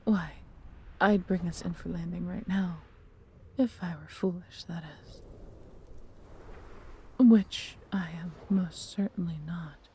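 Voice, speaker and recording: A woman playing a proud, tender female dragon in a fantasy audio roleplay, with a breathy, theatrical, measured delivery. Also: lowish voice, fairly even intonation, warm tone, slight background noise. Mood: proud, teasing. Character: proud, doting female dragon, adventurous and protective.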